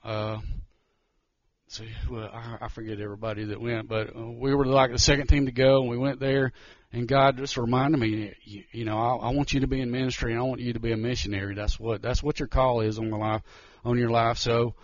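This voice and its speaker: A man giving a nervous, halting missionary testimony in church, often restarting his sentences. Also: mid-range voice, little pitch movement, dry recording, highs cut off. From Georgia, US.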